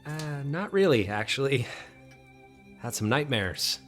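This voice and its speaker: An actor playing a haunted, anxious guest in a supernatural audio drama, speaking in halting, short phrases with a dramatic score behind him. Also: male voice, mid-range voice, very animated, faint music behind. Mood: shaken, polite. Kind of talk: first-person audio drama narration with dialogue.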